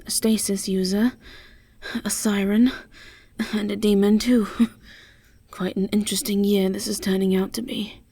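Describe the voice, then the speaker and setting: Woman doing a bossy but calm lady professor, slow n measured, bit wry, fantasy school audio drama. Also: mid-range voice, gravelly, slightly rough. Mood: wry, composed. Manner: measured, commanding, instructive. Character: authoritative, composed, wry female fantasy academy professor. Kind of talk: amateur fantasy audio roleplay acting.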